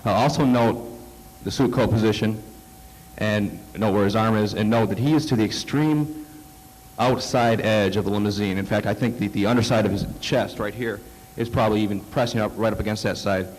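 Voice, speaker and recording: Halting low-voiced man giving a slide talk. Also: darkish tone, poor audio. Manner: halting, many fillers and restarts. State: coming down with a cold. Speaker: conference speaker. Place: conference hall.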